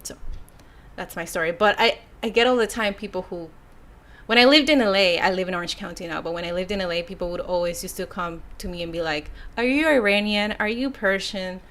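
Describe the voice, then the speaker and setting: A woman's voice: medium pitch, brisk, a bit bright. A young woman from Mexico with a Mexican Spanish accent, chatting casually on a live stream as she reads viewer comments aloud, sighing and laughing between thoughts. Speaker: YouTuber reading viewer comments.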